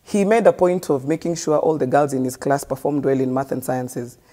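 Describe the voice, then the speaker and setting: A Kenyan woman recounting her upbringing in an earnest, storytelling way, slipping between English and street slang. Her voice sits in a mellow, unhurried middle register, with a warm, dark tone. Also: a bass voice. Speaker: guest. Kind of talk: conversational life story.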